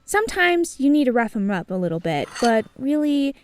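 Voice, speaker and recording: A woman plays a playful, bossy young cartoon student with a high girlish voice. She is chatty and teasing, over faint background noise. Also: sing-song, a little fast. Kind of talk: animated comic dub acting.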